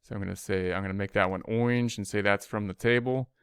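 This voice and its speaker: A man's voice: lowish voice, steady tone, fast, clean tone, dry recording, studio quality. A man giving an informal spreadsheet tutorial as an instructor, thinking aloud step by step and often repeating himself. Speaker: accounting instructor.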